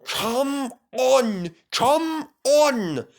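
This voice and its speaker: A man's voice: very high voice, animated, very slow, vocal fry, pitched above the performer's own voice, heavily acted. A man voicing a keen, chummy, hard-working tank engine in a children's story. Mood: straining, eager.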